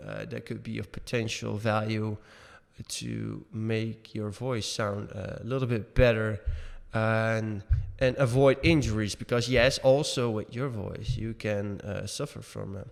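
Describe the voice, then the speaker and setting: Gravelly-voiced man chatting on a video call. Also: lowish voice, steady tone, big-room sound. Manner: halting, many fillers and restarts. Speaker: podcast co-host. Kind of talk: casual video podcast chat. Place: home room, indoors. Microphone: webcam video call.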